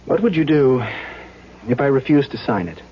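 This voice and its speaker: An actor playing a conflicted, reflective public official in a first-person radio drama. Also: male voice, mid-range voice, lively intonation, normal speed, breathy edge. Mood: troubled, reflective. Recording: dull old radio recording.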